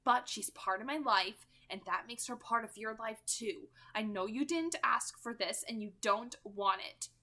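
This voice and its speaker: A woman voicing a gentle, intense, protective seventeen-year-old immortal young man, speaking in an earnest, controlled way for a story. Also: on the high side, unhurried, hardly any pauses. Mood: gentle, patient.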